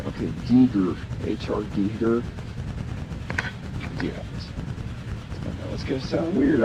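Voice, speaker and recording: A tired man rambling through a halting, mumbled stream-of-consciousness monologue, recorded on a lo-fi analog cassette. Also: medium pitch. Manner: halting, mumbling, with trailing asides. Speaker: artist recording his own tape. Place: home, late-night recording. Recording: noisy lo-fi analog cassette tape.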